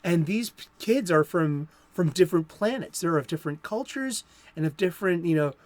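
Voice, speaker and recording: A man's voice: on the high side, animated, normal speed. A man from Boston hosting a solo comics talk show, chatty and playful with rambling asides.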